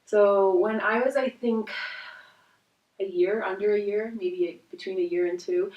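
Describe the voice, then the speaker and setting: A woman in her twenties from India, a native Hindi speaker, telling her life story as a life coach in an earnest, reflective way with soft pauses. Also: mid-range voice. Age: 20s. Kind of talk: personal storytelling vlog. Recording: roomy echo, voice from a distance.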